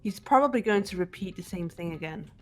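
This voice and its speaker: A woman plays a calm, strong young woman from a video game. Her voice is on the low side, steady and composed, with soft music behind. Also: natural voice. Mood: reassuring resolve. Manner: measured, composed. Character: calm, stoic, sturdy young woman, reassuring. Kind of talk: fan-dubbed video game character voice.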